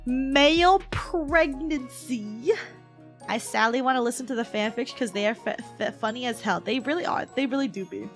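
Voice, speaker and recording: A woman's voice: on the high side, moderate pace, soft background music. A woman livestreamer chatting casually and playfully with her viewers in a giggly, bantering way. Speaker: VTuber livestreamer.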